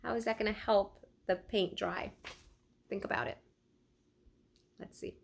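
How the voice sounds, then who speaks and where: A woman reading a children's storybook aloud like a teacher, expressive and deliberate, pausing to put questions to her listeners. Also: mid-range voice, creaky, slight background noise.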